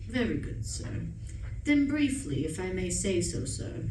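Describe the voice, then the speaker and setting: A woman's voice: fairly low pitch, very animated, a little slow, warm tone. A woman playing an unflappable, deferential male English valet, formal and politely disapproving, in a radio play. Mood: deferential, measured. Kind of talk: stage radio play acting. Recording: roomy, distant stage recording, clear noise.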